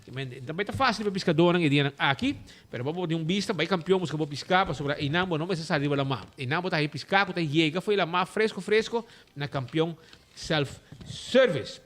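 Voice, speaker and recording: A man with an Aruban accent hosts a casual radio show, talking in a lively, rambling way and slipping between languages. His voice sits high for a man. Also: brisk. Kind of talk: casual radio talk with ads.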